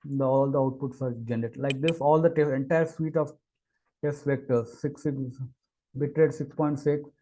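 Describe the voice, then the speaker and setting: Male engineer, mellow tone, raised pitch, hesitant demo narration full of fillers. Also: steady tone, poor audio. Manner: halting, many fillers and restarts. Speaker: engineer giving a product demo. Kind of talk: screen-recorded technical walkthrough.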